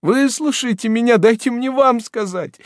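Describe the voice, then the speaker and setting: Desperate sobbing young woman, played by a male reader: high-pitched, frantic, begging, storybook audiobook. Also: moderate pace, vocal fry, darkish tone, no echo, clean studio sound. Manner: shrill, tearful, pleading. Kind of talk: audiobook character voice.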